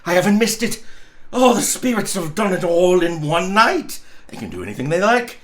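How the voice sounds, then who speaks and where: A man's voice: sits high, sing-song, normal speed, fairly bright tone. A man voicing an overjoyed, newly reformed old miser in a storybook reading, exuberant and stammering with exclamations. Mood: delighted.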